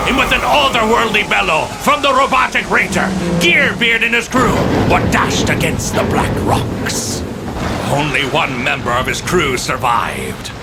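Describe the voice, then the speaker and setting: An actor playing a jolly old pirate radio captain, telling tales dramatically in a stage pirate accent. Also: male voice, a little high, vocal fry, background noise, heavily acted. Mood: dramatic. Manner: dramatic, hammy. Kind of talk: theatrical scripted storytelling.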